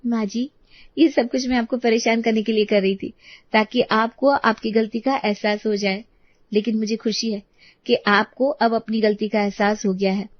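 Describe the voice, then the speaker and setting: A woman voices a young daughter-in-law from an animated story. The voice is high, eager and sweetly insistent. The recording is dry and slightly dull. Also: moderate pace, dry recording, natural voice. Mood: affectionate. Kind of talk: animated moral story dialogue.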